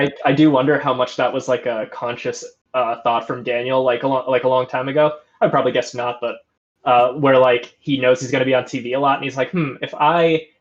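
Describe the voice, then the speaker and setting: A man's voice: medium pitch, dull audio. A man hosting a live poker stream, commentating in a chatty, musing way with frequent restarts.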